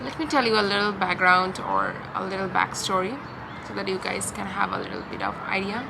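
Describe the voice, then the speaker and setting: A young woman with a Bangladeshi accent talking in a hesitant, halting, confiding way in a personal vlog recorded outdoors. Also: medium pitch, expressive, gravelly. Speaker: vlogger. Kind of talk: personal talk-through to camera. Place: outdoors in a park. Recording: outdoor park noise, distant traffic. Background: ambient park and vehicle noise.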